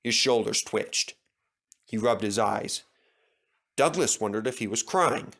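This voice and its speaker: A man's voice: lowish voice, unhurried, vocal fry, a bit bright. A man narrating a scripted children's story.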